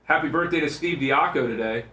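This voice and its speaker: A man's voice: fairly high pitch, brisk, pushed and bright, a little hiss or hum. A male radio co-host trading casual, joking banter in a teasing, needling manner, with an echoey room sound.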